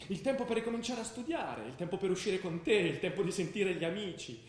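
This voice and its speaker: An actor playing a troubled, restless young student in an intense, brooding radio theatre performance. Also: male voice, high voice, lively intonation, a little fast, slight background noise. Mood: bitter, agitated. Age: young adult. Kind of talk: stage monologue and dialogue in radio theatre. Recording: roomy theatre sound with music behind. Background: music.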